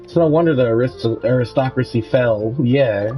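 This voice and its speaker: A man's voice: medium pitch, moderate pace, mellow, poor audio. A man live-streaming a game with casual, slangy, irreverent reaction commentary and some swearing. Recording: voice over faint game music.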